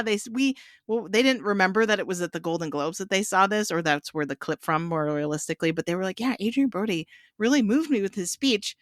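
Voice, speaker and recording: Female podcast panelist, slightly low voice, informal and anecdotal, very dry sound. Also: fairly bright tone, no room sound. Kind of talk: casual chat.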